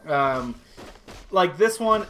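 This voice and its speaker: A man hosts a casual video game playthrough in a fairly high voice. He rambles along with self-deprecating asides and jokes. Game music plays faintly behind him. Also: expressive, very slow, bright, forceful tone, rough recording. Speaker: gaming channel host. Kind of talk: casual let's play commentary.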